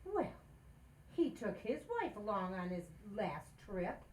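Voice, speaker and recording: A woman playing a scheming, excitable housewife with dry wit, wisecracking theatrically in an old-time radio sitcom. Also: medium pitch, slow pace. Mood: excitable, nosy.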